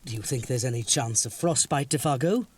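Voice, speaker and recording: An actor playing a genteel older gentleman, formal and reassuring with a nervous edge, in a radio horror drama. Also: mid-range voice, brisk, breathy edge, lightly acted. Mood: anxious, solicitous. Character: genteel, soothing older gentleman keeping frightened companions calm.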